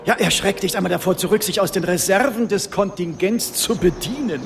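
A man playing a smooth, evasive disgraced official in a radio crime drama, urbane and mock-polite. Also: sits high, a little fast, a little hiss or hum. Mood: knowing, sardonic.

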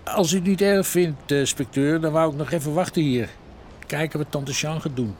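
A man's voice: fairly high pitch, moderate pace, a little hiss or hum, lightly acted. An actor playing an eager, deferential junior policeman in a radio drama, polite, informal and hesitant. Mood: eager, tentative.

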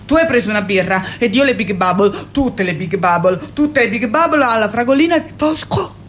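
An actress voicing an odd, childlike young woman who speaks flatly and stubbornly in a radio play. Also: on the high side, fairly quick, slight background noise, close to an everyday voice.

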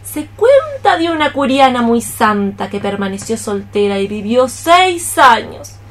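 A woman's voice: a little high, big pitch swings. An actress playing a scolding, scheming old mother cockroach in a theatrical, exclamatory radio play style. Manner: exclamatory, theatrical, drawn-out phrases. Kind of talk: radio theatre acting in archaic poetic Spanish.

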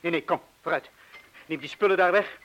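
An actor with a Flemish accent playing an anxious, protective man in a radio drama, speaking haltingly and urgently. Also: fairly high pitch, a bit bright, lo-fi sound, natural voice. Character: anxious, protective man urging his lover to leave. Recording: hissy old radio play recording.

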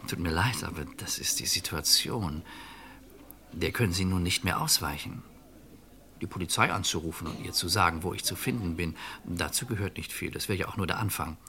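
An actor playing a courteous murderer with gallows humour in a radio crime play, speaking in a composed, formal and ironic way. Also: male voice, sits low, bright, forceful tone. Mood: grave, composed. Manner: polite, composed, ironic, measured formal speech. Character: courteous, gallows-humoured murderer pleading calmly for his life.

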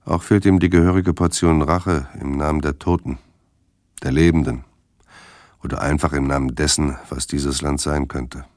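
Very deep, brooding noir narrator. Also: male voice, fairly even intonation, normal speed, darkish tone, dry recording, studio quality. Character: brooding male narrator of a noir detective story. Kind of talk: scripted radio crime drama narration.

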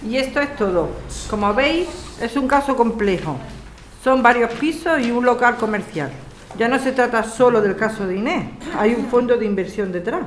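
A woman plays a brisk activist addressing neighbours in a radio drama. Her voice is fairly high, in an echoey room with faint noise. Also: animated, lightly acted. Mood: businesslike. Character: Alicia, brisk woman explaining a housing case. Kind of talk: amateur radio drama acting.

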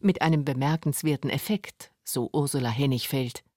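A man's voice: mid-range voice, moderate pace, a little mellow, dry recording, clean studio sound. A man narrating a scripted radio documentary. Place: dry studio.

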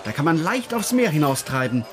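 A high, very animated male voice with music behind it. an easygoing local who teases familiarly, in a radio play. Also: fairly quick. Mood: breezy, teasing. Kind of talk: German radio crime drama acting.

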